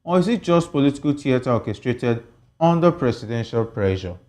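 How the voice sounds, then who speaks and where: A man's voice: mid-range voice, expressive, brisk, a little mellow. Male TV news host, mid-range voice, presenting and quizzing panellists. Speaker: TV host and interviewer.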